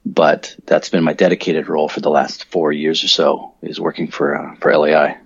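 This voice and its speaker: A deep-voiced, husky middle-aged American man talking through a dull, muffled line with a dry sound. He rambles easily, restarts his sentences and fills gaps with ums, like a guest chatting on a podcast. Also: normal speed, dry recording. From Colorado, USA. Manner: relaxed, rambling, self-correcting with frequent ums. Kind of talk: conversational podcast interview.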